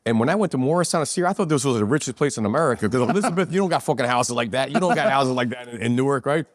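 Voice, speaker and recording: Fast-talking rambling New Jersey man. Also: medium pitch, expressive, no room sound, clean studio sound. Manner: rambling, profane, street-talking. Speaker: podcast guest, business owner. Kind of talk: casual storytelling chat. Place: pizzeria.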